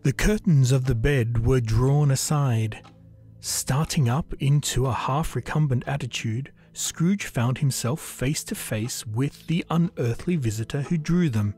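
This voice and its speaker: A man narrating a literary story as a scripted reading, measured and deliberate. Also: medium pitch, darkish tone, breathy edge. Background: music.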